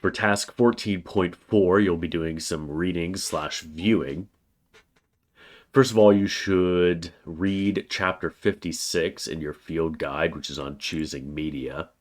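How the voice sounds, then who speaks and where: A man with a cold, a college writing instructor giving a recorded weekly course overview in a plain, steady way with frequent "uh" fillers. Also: deep voice, slow. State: has a cold, slightly congested.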